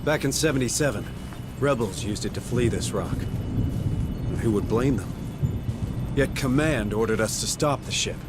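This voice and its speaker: A man's voice: mid-range voice, normal speed, pauses often, very noisy, natural voice. A man playing a weary, determined marine sergeant in a video game, terse and impatient, with music behind him. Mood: resolute. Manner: terse, hard-bitten, impatient. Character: gruff, weary, determined male marine sergeant and worried father. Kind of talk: cinematic video game acting.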